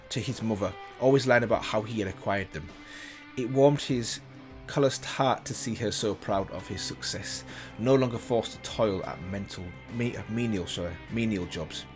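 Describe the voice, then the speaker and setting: A man reading a text aloud as a reaction video host, breaking off for casual comments of his own. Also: fairly low pitch. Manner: casual asides while reading. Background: loud background music.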